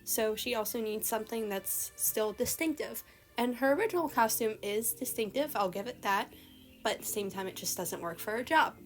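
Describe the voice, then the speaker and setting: A young woman giving casual, rambling voice-over commentary on a costume redesign, her voice slightly hoarse from a recent illness. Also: a little high, normal speed. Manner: chatty, rambling, many "like" fillers. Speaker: artist commenting on a character redesign. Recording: voice-over with faint background music.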